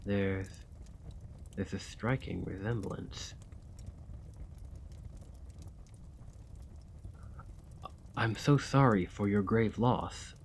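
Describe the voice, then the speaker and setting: A man playing a centuries-old vampire gentleman in a scripted ASMR roleplay, weary and courteous, with formal, old-fashioned manners and a measured delivery. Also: fairly low pitch, a little hiss or hum. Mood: confessional, sorrowful.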